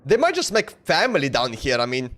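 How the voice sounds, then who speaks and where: A woman's voice: very deep voice, wildly swooping pitch, fairly quick, a bit bright. A woman live-streaming a game, giving informal, playful reactions in casual commentary. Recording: game music behind the voice.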